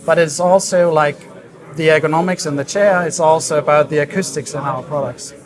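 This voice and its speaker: A man explaining his work as a design director in an interview, in a halting, thoughtful way. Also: a little high, soft background music. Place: busy hi-fi trade show hall. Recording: crowd chatter around the voice. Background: crowd chatter.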